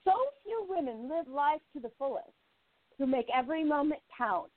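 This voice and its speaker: A woman's voice: high-pitched, animated, moderate pace, dry recording. Arch, gossipy woman on a thin line. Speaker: narrator and lead player, gossip columnist. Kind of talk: radio play narration and acting. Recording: phone-like narrow sound.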